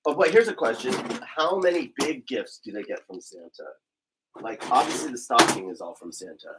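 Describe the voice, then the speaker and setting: A man with a lively, up-and-down voice joins a casual home chat, tossing out questions and wry jokes. Faint background noise hums underneath. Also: mid-range voice, normal speed. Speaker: host's partner.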